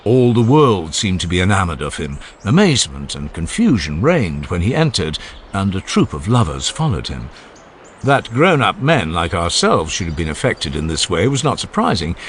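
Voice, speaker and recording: Male narrator, lowish pitch, lively intonation, measured dramatized delivery of classical prose over faint background sound. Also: slight background noise. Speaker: audiobook narrator. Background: faint ambient background sound.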